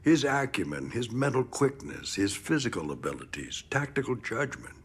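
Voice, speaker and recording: An actor playing a gritty, middle-aged decorated military trainer in an audio drama, gruff and plain-spoken with emphatic, measured praise. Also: male voice, mid-range voice, big pitch swings. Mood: proud, impressed. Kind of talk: audio drama interview acting.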